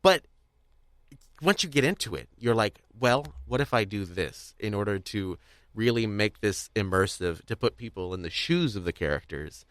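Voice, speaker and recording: Low-voiced man, halting podcast host. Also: expressive, no echo. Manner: halting, rambling, searching for words. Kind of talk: conversational podcast interview.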